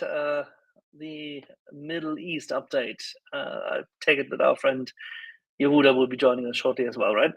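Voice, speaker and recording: Husky man arguing emphatically. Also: fairly high pitch, fairly bright tone, poor audio. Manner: insistent, repeats words for emphasis. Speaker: panel speaker on live audio space. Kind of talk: emphatic political argument.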